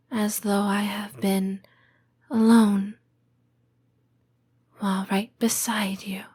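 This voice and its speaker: Lamia girlfriend, female actress, ASMR roleplay: tender and lonely, hushed breathy whisper, drawn-out hesitant pacing. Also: mid-range voice. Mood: lonely, gentle. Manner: slow, hesitant, intimate delivery with long pauses. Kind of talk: soft-spoken ASMR roleplay.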